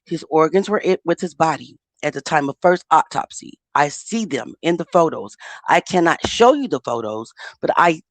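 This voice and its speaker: A woman's voice: sits low, lively intonation, normal speed, no echo. A woman host with a speech impediment giving casual commentary, stuttering and repeating words in a heated, insistent way. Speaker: channel host.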